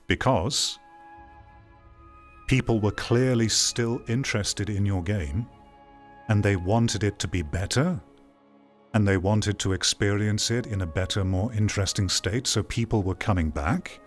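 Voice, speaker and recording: Blunt, exasperated man with low voice. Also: measured pace, soft background music. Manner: blunt, exasperated, repeats phrases for emphasis. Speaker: host giving commentary on game news. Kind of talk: casual reaction commentary.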